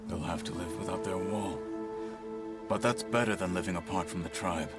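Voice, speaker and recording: Deep, stoic warrior marshal played by a man. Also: fairly even intonation, over loud music, close to an everyday voice. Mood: stern, measured. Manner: measured, formal. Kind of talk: cinematic video game acting.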